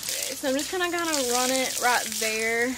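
A woman's voice: sits high, fairly quick, heavy background noise. A woman, chatting casually on a handheld camera at an outdoor horse barn, full of "um" and "like". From Southern US. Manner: chatty, filler-heavy, baby talk to her horse. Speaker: vlogger. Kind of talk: casual vlog narration.

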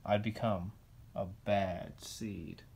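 A man voicing a self-confessed bad sunflower seed from a children's picture book, with dramatic, deliberate delivery. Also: fairly low pitch, fairly even intonation, vocal fry, a little mellow, slight background noise, pitched below the performer's own voice, full character voice. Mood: wistful, dramatic.

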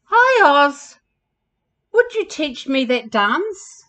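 A woman's voice: high voice, sing-song, extremely slow, a bit bright, voice pushed up, exaggerated voice. A woman voicing an earnest, insecure male camel with big plans in a children's storybook, plaintive and eager.

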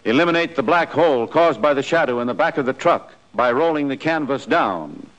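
An American man narrating a 1940s military training film in a scripted, instructive style, with clear imperative delivery. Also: medium pitch, animated, brisk, fairly bright tone, dull audio. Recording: noisy 1940s film soundtrack.

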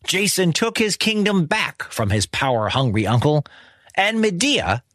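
A man's voice: on the high side, very animated, a little slow, fairly bright tone. A man telling a scripted children's story as host, in a wry, conversational manner with dramatic emphasis. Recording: music behind the voice. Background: music.

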